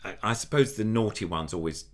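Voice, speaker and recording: Older male guest, very low nasal voice, rambling enthusiastic talker. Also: a little fast. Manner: rambling, enthusiastic, many restarts. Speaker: puppeteer guest. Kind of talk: casual interview chat.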